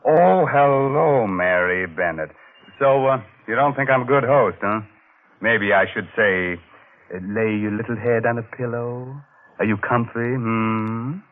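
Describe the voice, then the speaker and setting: A man hosting and narrating a 1940s radio mystery in a theatrical, droll, mock-sinister manner with deliberate pauses. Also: medium pitch, phone-quality sound. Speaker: mystery show host and narrator. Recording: thin, noisy old radio recording.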